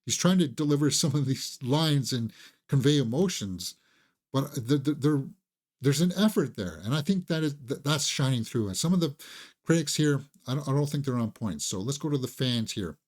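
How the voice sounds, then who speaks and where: Man, mid-pitched voice, casual reviewer, opinionated, enthusiastic, halting and conversational. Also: expressive, a little mellow. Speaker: film reviewer and host.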